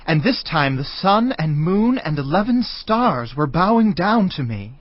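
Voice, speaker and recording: An actor playing an eager, earnest seventeen-year-old favored son in a dramatized scripture reading. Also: male voice, on the high side, sing-song, a little slow, no echo. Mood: eager wonder. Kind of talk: dramatized scripture audio acting. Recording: dull audio over background music.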